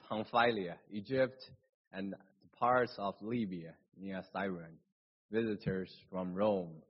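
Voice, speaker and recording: A man with a Chinese accent, whose first language is Chinese, reading scripture and preaching a sermon in a halting way, with restarts and slips. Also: fairly low pitch. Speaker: pastor. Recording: dull lo-fi recording.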